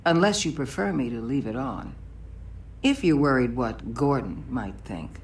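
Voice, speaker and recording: A woman's voice: extremely low pitch, expressive, measured pace, slight background noise, heavily acted. Ruthless, commanding female agency chief. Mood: cold commanding. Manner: hard, blunt, authoritative. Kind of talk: dramatic video game acting.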